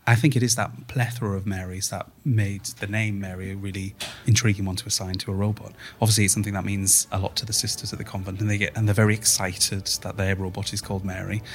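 A man's voice: fairly low pitch, level tone, fast talker, hardly any pauses. A man explaining ideas conversationally as an interview guest.

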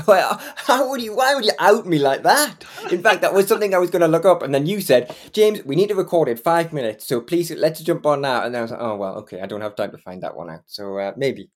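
A man's voice: a little high, animated, a little fast. A man with a Geordie accent from Northeast England, hosting a podcast in casual, chatty conversation with frequent fillers and laughs. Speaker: podcast host. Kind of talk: casual chat and interviewing.

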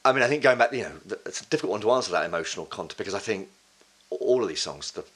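Deep-voiced middle-aged english guy rambling about stuff, lots of stops and restarts, swears casually. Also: very fast, a bit bright, breathy edge. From England. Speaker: interview guest. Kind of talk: casual conversational interview.

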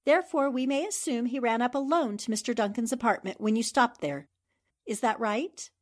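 Actress as confident, bright-pitched woman reporter sleuth. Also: moderate pace, close to an everyday voice. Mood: assured. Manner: incisive, questioning, assured. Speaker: newspaper reporter. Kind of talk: 1940s radio drama acting.

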